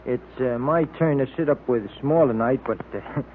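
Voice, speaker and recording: An actor playing a boyish, deferential police sidekick in 1930s radio drama, folksy and hesitant. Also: male voice, medium pitch, moderate pace, close to an everyday voice. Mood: sheepish. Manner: hesitant, folksy, easily awed. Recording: noisy, tinny vintage 1930s radio recording.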